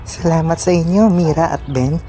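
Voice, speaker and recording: A woman's voice: sits low, normal speed, music in the background, lightly acted. A woman playing a grateful, inquisitive villager in an amateur radio drama, with a Filipino accent.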